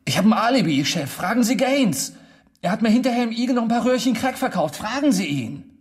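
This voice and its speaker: Shrill, panicky cornered crook. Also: male voice, sing-song, fairly quick, background noise. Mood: whiny pleading. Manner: pleading, jittery. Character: panicky small-time criminal suspect, whiny, foul-mouthed, cornered by police. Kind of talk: radio drama acting.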